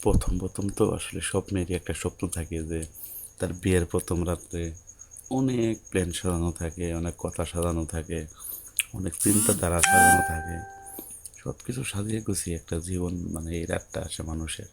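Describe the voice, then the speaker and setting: A man's voice: deep voice, fairly even intonation, moderate pace, gravelly, slight background noise. A man playing a patient, coaxing young newlywed husband in an audio story, with a Bangladeshi accent and a soothing, colloquial way of explaining. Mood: gently coaxing.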